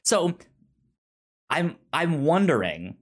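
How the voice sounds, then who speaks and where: A man chatting casually as a podcast co-host, with a frequent stutter that repeats sounds and words and a halting, rambling delivery. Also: on the high side, very animated, husky.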